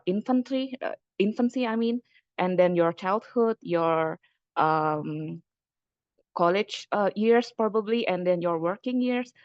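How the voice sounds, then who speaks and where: A woman from Indonesia lectures in a webinar, her voice sitting a little low and speaking in a loose, conversational way with many hesitant fillers. The sound comes through a computer mic on a video call, dry and close with a dull, lo-fi tone. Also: a little mellow, dry recording.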